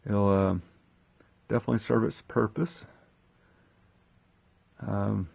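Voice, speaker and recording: A man giving a casual hands-on demonstration, thinking aloud in an unhurried way as he handles the gear. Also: lowish voice, steady tone, creaky, slightly rough, dull audio. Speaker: host of a gear unboxing and testing video.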